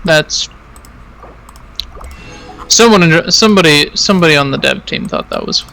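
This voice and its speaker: Bright, gravelly man in casual chat. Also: fairly high pitch, animated, moderate pace, soft background music. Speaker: co-commentator in gaming chat. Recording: noisy voice-chat audio.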